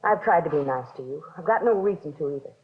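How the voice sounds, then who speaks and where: An actress playing a sick, bitter, defensive shopkeeper's wife in a 1950s radio drama, snappish and weary. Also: female voice, sits low, lively intonation, quick pace. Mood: bitter, hostile. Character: sick, bitter, defensive wife of a jailed pet shop owner. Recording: muffled, tinny old radio broadcast recording.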